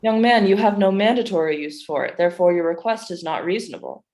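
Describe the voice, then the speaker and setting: A woman's voice: fairly low pitch, quick pace, darkish tone, natural voice. A woman playing a cold, commanding female doctor in a staged play reading, clipped, formal and haughty. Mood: dismissive.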